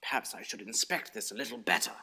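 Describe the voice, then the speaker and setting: A man's voice: fairly low pitch, fast talker, sharp tone, breathy edge, heavily acted. A man playing a bumbling adventurer hero in an audio story, thinking aloud theatrically with quaint exclamations. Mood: dismayed, wondering.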